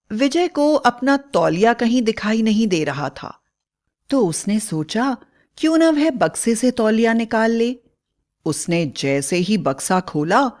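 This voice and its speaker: A woman storyteller reading a scripted bedtime fairy tale as narrator. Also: fairly high pitch.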